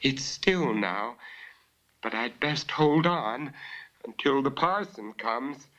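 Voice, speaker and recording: A man's voice: medium pitch, expressive, takes it slowly, bright, forceful tone, voice pushed up. A man voicing a frightened but plucky young servant girl in a ghost story, urgent and breathless. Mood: cautious. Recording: dull lo-fi recording.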